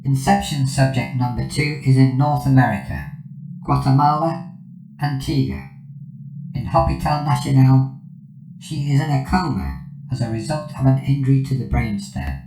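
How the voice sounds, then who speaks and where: An emotionless machine intelligence from a sci-fi audio drama , acted by a man. It reports in clipped, precise phrases with a creaky edge. The voice echoes, with loud guitar music underneath. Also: mid-range voice, normal speed, lightly acted. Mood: impassive. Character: emotionless computer system reporting on a tracked subject.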